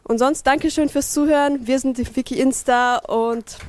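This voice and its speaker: A woman's voice: high voice, fairly even intonation, moderate pace, darkish tone. A woman presenting her team's open points and thanking the audience, with fillers. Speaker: student presenter. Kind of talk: project presentation.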